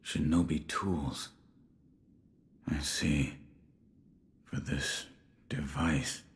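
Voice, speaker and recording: An actor playing a stoic one-armed shinobi in a video game, terse, guarded and deadpan. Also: male voice, rumbling low, drawn-out words, exaggerated voice. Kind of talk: video game cutscene acting.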